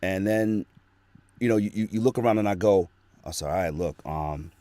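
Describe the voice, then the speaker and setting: A man's voice: deep voice, moderate pace, warm tone, no echo. A man who works as a voice actor, talking earnestly and at length as a guest in a casual interview, recorded in a small home studio. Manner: earnest, rambling storytelling.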